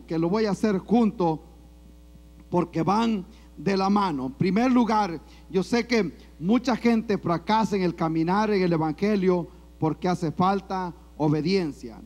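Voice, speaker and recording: A man with a Salvadoran accent preaching a sermon in church, punctuating his points with frequent amens and calls to the congregation. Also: high voice. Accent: Salvadoran Spanish. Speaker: pastor.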